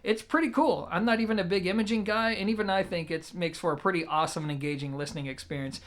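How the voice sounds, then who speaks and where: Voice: mid-range voice, fast. A reviewer explaining audio gear in a conversational, methodical way with informal asides. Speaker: product reviewer, show host.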